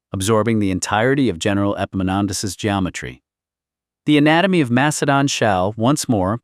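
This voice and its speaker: A man's voice: fairly low pitch, no echo, studio quality, natural voice. A man voicing a coldly brilliant sixteen-year-old boy philosopher in an audiobook, speaking in grandiose, emotionless declarations. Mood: coldly certain.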